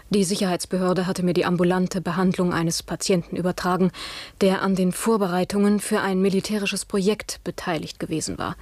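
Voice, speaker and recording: An actress playing a composed female doctor, giving formal, measured, clinical testimony in a radio drama. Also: lowish voice, darkish tone, no room sound, close to an everyday voice.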